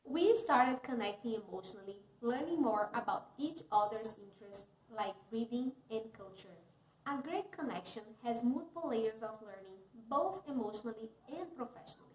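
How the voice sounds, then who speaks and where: A woman's voice: fairly high pitch, lively intonation, moderate pace, echoey room, low-quality recording. A woman, a teacher reading a talk in a careful, earnest way. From Brazil. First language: Portuguese. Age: 30s. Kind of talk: scripted talk, read aloud.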